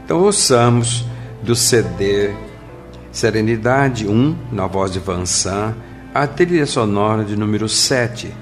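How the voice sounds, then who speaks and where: A man's voice: on the low side, animated, slow, music in the background. A man with a Brazilian accent presenting in a formal, didactic way, reading scripted narration and book passages. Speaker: presenter of a spiritist programme.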